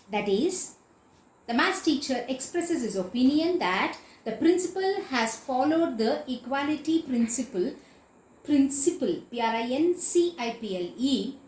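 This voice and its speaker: High-pitched, animated woman teacher in echoey room. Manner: didactic, emphatic, repeats and spells out key words. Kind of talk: explanatory literature lecture.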